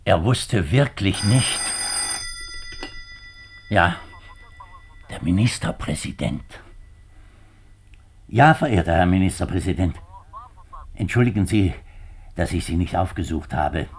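An actor playing an anxious middle-aged government minister, husky and halting, in a 1950s German radio drama. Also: male voice, low voice, expressive, slight background noise, full character voice. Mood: subdued, then agitated, pleading. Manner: halting, agitated, formal.

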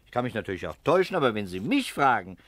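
An actor playing a shrewd English private detective in a German radio crime drama, politely probing and deliberate with gentle irony. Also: male voice, mid-range voice, big pitch swings, dry recording. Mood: calmly accusing.

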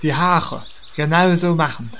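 A man's voice: a little high, a little slow, pushed and bright, highs cut off, a little hiss or hum. A man playing a naive, childlike guild member in a comedy audio drama, simple-minded and eager. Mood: naive delight. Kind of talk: German audio drama parody.

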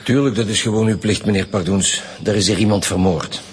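A man's voice: lowish voice, fairly even intonation, fairly quick, husky. A man with a Flemish accent playing a brusque, sardonic homicide commissioner in a radio drama. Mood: businesslike. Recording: long echoing hall reverb.

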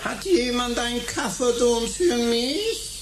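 A woman's voice: a little high, expressive, slow, roomy sound, background noise. A woman playing a whiny, dim, cartoonish creature in a comic science-fiction radio drama. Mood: whiny, pleading.

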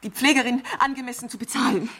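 An anxious, imperious wealthy wife in a vintage radio thriller. Her voice is high and husky, and she stammers and pleads in agitation, as if her life were in danger. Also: steady tone, quick pace, a bit bright. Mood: frantic, pleading. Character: anxious, demanding bedridden rich wife, fearing for her life. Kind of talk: 1970s German radio thriller acting.

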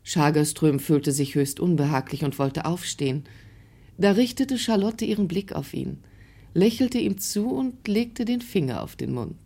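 A woman narrating a literary radio drama in a scripted storytelling style, with a husky voice. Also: on the low side, big pitch swings, measured pace, warm tone.

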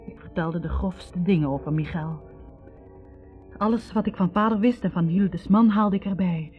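An actress playing a wounded young woman in a 1960s radio drama, bitterly and haltingly confessing a painful past. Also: on the low side, soft background music, lightly acted. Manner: hesitant, halting, introspective. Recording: dull vintage radio recording.

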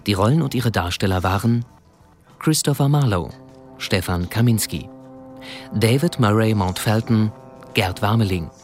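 A man's voice: on the low side, normal speed, long pauses, a little mellow. A man announcing a radio drama and its cast in a scripted broadcast style. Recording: music behind the announcement.

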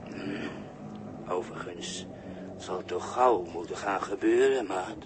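Slow, sly, menacing bystander. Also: male voice, low-pitched. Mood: smug, teasing. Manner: deliberate, condescending, colloquial. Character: sly male bystander, patient, mocking, quietly menacing. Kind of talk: 1960s Dutch radio drama acting. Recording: noisy early 1960s radio recording.

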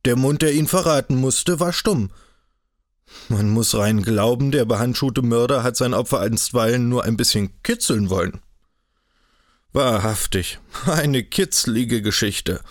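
A man voicing a mocking, chatty older cavalry captain in an audiobook, loud and jovial with a sneer. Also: mid-range voice, a little slow, dry recording, clean studio sound, close to an everyday voice. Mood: scornful.

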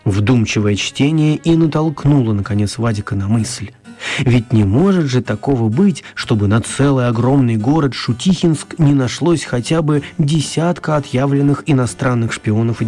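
A man narrating a children's radio play as an expressive storyteller, with theatrical, deliberate phrasing. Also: medium pitch, slightly rough.

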